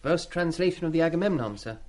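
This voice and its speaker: A man's voice: fairly high pitch, lively intonation, fast, darkish tone, natural voice. An actor playing a shy, eager schoolboy in a radio play, speaking politely and diffidently to his master.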